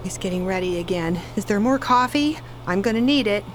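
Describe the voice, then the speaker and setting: A woman's voice: medium pitch, lively intonation, brisk, a little hiss or hum, natural voice. A woman playing a tired, earnest, exasperated wife in a radio play, passing on what her child says. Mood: weary.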